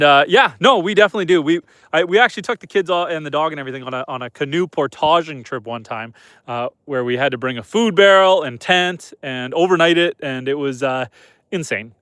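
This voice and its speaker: A man from Canada talks in a loose, easygoing way, his voice thick and nasal from a head cold. His voice sits a little high for a man. The sound is dry and close, with no room echo. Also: a little fast. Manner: relaxed, chatty, soft-spoken to the animals. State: stuffed-up nose from a head cold. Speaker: homesteader streaming live. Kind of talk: casual live-stream chat reading comments. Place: outdoors at night on a farm.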